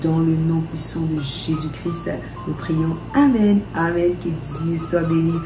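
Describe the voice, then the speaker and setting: A woman's voice: sits low, fairly even intonation, measured pace. Fervent woman preacher, echoey room. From DR Congo. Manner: fervent, repetitive declarations. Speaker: minister. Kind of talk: preaching and leading prayer. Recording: echoey room with soft music.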